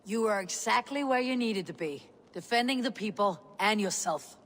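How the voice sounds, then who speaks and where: An actress playing a viking clan leader who speaks in a measured, authoritative way. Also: female voice, medium pitch, expressive. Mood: commanding. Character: female viking clan leader, calm and commanding. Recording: game cutscene over soft music.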